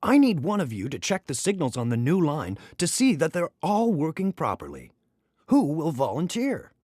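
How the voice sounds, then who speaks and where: Man as kindly older railway boss, authoritative, formal, animated intonation, children's storybook. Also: on the high side, normal speed, dry recording, studio quality. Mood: commanding. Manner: formal, commanding.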